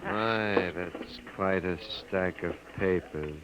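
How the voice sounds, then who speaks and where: An actor playing a courteous, smooth, probing male science writer in a 1950s radio drama. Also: low-pitched, slow. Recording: scratchy, hissy old radio recording.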